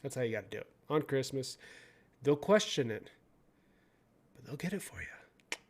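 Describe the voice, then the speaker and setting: A young man hosts a relaxed commentary video, reading entertainment news aloud and adding his own opinions. He trips over words now and then, swears casually, and his pitch sits in the middle. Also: normal speed. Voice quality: profane, stumbles over words while reading. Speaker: video host.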